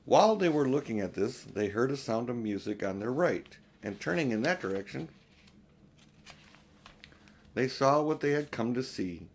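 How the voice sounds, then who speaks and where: A man's voice: medium pitch, lively intonation, normal speed, pauses often. An older man reading a storybook aloud to children as an audiobook narrator, now and then stumbling over a word.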